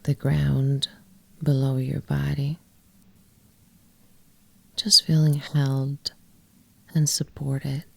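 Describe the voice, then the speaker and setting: A woman guiding a yoga nidra meditation in a soft, breathy, often near-whispered voice, with gentle phrases and long pauses. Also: very deep voice, flat delivery, no echo. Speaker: yoga nidra teacher and guide. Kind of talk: guided meditation, soft and soothing.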